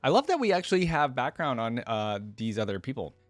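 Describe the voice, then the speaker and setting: A man's voice: mid-range voice, big pitch swings, a little fast, no echo, faint music behind. A man hosting a reaction video, reading game lore aloud and dropping in casual, informal opinions of his own.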